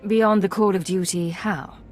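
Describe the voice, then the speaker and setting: An actress playing a composed, dutiful, coolly suspicious Imperial agent in a video game, formal and controlled. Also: female voice, mid-range voice, steady tone, unhurried, a little mellow, soft background music, natural voice. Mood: questioning.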